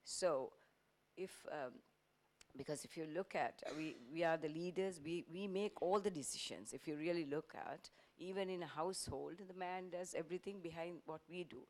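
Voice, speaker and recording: A woman's voice: on the low side, moderate pace, a little mellow. A woman in the audience giving a statement and a question, earnest, building her point with repeated starts. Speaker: audience member asking a question. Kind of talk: conference floor question. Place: large conference hall.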